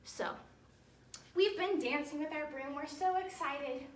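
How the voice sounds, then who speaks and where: A woman with a high, very lively voice leads a children's dance lesson. She is encouraging and explains each thing simply, often checking in with her young pupils. The sound is roomy and echoing, picked up at a distance from the mic. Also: a little slow. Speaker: children's ballet teacher and storytime host. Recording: roomy echo, distant mic, faint noise.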